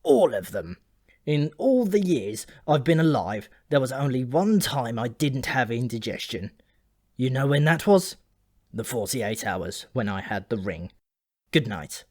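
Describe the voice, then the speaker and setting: A man's voice: mid-range voice, big pitch swings, a little slow, darkish tone, dry recording. Ancient dwarf for a fantasy audiobook , acted by a man: dyspeptic, gloomy, rambling, grudgingly friendly, recorded dry. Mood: weary, complaining.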